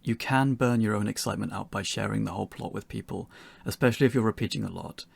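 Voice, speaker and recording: A man presenting a pre-recorded instructional talk as a game developer, in a measured, explanatory manner. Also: lowish voice, vocal fry, breathy edge, no room sound.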